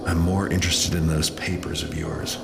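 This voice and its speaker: Very deep, gravelly cynical thief. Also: male voice, flat delivery, normal speed, full character voice. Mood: cool, guarded. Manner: dry, understated, sardonic. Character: cynical, wry master thief, cool and guarded. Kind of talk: noir-like fantasy game acting. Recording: echoey, loud music behind.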